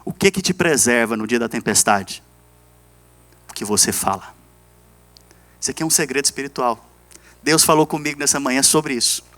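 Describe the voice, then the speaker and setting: A man's voice: mid-range voice, normal speed, long pauses, a bit bright. A man with a Brazilian accent preaching an evangelical sermon as a pastor, emphatic, repeating key phrases and calling on the congregation to respond.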